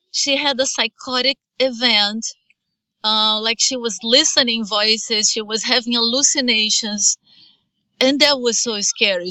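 A woman's voice: mid-range voice, bright, forceful tone, no echo, low-quality recording. Woman with a Brazilian Portuguese accent. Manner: halting, earnest, with frequent restarts. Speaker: mother giving testimony. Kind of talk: personal testimony.

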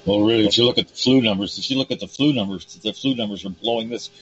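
A man hosts the conversation in a very low voice that is going hoarse. He rants with emphatic, indignant force. The audio is dull and lo-fi, with a faint hum underneath. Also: fast. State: hoarse, losing his voice. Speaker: host. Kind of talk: casual talk show conversation.

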